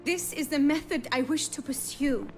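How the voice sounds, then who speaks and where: Resolute young noblewoman for a fantasy game, female actor: refined, precise, fairly high-pitched, light score underneath. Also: normal speed, lightly acted. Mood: insistent. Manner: formal, courtly, precise phrasing. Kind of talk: video game cutscene acting. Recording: faint music under the voice.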